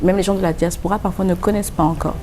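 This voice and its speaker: A woman's voice: on the low side, steady tone, racing, noisy background. A woman from France with a French accent, a designer and boutique founder answering interview questions earnestly, with many hesitations as she explains at length. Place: pop-up boutique.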